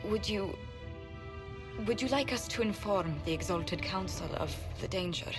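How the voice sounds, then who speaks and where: A woman's voice: mid-range voice, measured pace, lightly acted. A woman playing a principled, formal diplomat in a fantasy video game, speaking earnestly. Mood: concerned. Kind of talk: dramatic video game acting. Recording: long hall reverb, loud music.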